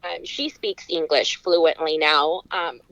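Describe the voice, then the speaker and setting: Low-pitched woman chatting as podcast guest. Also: fairly even intonation, takes it slowly, vocal fry, a bit bright, no echo, low-quality recording. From California, United States. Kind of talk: casual personal storytelling.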